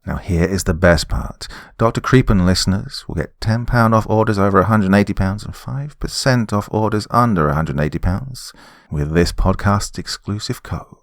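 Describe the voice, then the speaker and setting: Deep-voiced British male horror narrator. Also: normal speed, dry recording, clean studio sound. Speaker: horror podcast host and narrator. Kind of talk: dramatic scripted narration and sponsor reads.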